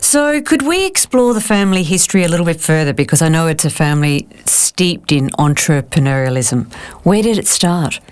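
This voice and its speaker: A woman's voice: sits low, lively intonation, normal speed, clean tone. A woman hosting a podcast from a studio, reading a polished scripted intro and then asking attentive interview questions. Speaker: podcast host.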